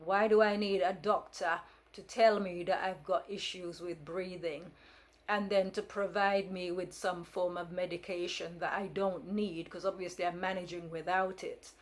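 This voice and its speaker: A woman's voice: lowish voice, fairly even intonation, moderate pace. Frank woman in her fifties, rambling. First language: Jamaican Patois. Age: 50s. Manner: rambling, many fillers and restarts; frank and firm. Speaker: vlogger. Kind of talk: casual storytelling monologue.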